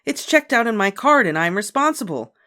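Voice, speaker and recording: A woman's voice: fairly high pitch, big pitch swings, a little fast, sharp tone, voice pushed up. A woman voicing a responsible older sister, a girl who complains in a raised, indignant voice.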